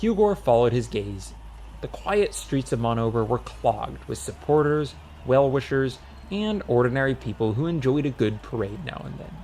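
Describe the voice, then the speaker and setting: A man's voice: medium pitch, very animated, moderate pace, darkish tone, slight background noise, low-quality recording. A man narrating a comic fantasy audiobook in a wry, scripted storytelling style.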